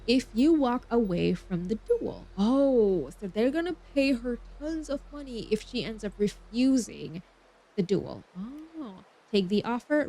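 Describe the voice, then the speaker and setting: A woman game streamer reading story text aloud, stumbling over words now and then and breaking off into expressive asides. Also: on the high side, slight background noise, poor audio. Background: music.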